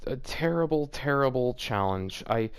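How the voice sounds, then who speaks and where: Sardonic young-sounding man with a clear voice. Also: mid-range voice, animated, moderate pace. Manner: sardonic, exasperated. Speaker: host of a fan fiction reading series. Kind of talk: casual commentary and read-aloud.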